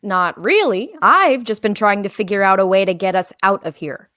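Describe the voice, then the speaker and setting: A woman's voice: medium pitch, lively intonation, normal speed, bright, forceful tone, dry recording, clean studio sound. A woman playing a fed-up, blunt alien co-pilot in a comedic script read. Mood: blunt, warning. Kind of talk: comedic live table read of a film script.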